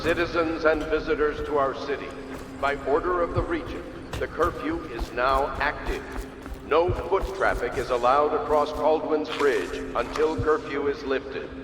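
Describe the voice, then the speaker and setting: A man's voice: fairly high pitch, very noisy, natural voice. Echoing, stern actor as city announcer man. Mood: stern, official. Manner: formal, authoritative, measured. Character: stern male city announcer reading curfew orders. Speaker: public address announcer. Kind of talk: formal scripted proclamation. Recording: echoing loudspeaker hall reverb, loud music.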